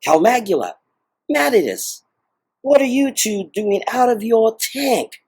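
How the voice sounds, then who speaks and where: A woman playing a haughty, suspicious overseer with a husky voice and imperious manner in an audio comedy drama. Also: a little high, sing-song, measured pace, darkish tone, heavily acted. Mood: suspicious, scolding. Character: haughty, suspicious supervisor scolding escapees.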